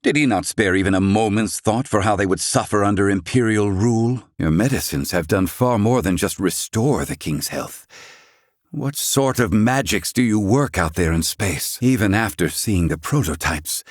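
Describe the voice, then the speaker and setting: A man's voice: fairly low pitch, lively intonation, moderate pace, no room sound, clean studio sound. An actor playing a proud, sardonic old male scholar and healer in a video game, speaking in formal, archaic phrasing. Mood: sardonic, indignant. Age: older. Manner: haughty, formal archaic diction.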